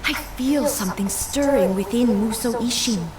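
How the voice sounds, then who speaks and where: A woman voicing a solemn, regal warrior ruler in a fantasy video game, speaking in a formal, measured, stately way. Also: on the high side, a loud crowd, close to an everyday voice. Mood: calm, reflective. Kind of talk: scripted video game voice acting. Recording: roomy, distant game audio with loud noise.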